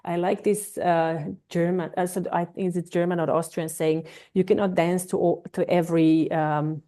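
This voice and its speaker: Woman podcast host, low mellow tone, hesitant and chatty. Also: little pitch movement, normal speed. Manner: warm, hesitant, many fillers and restarts. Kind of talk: casual interview talk.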